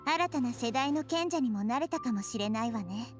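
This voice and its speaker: A woman playing a small young goddess in an anime game, calm, wise and solemn as she passes judgment. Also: fairly high pitch, very fast, lightly acted. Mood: calm, knowing. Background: game music.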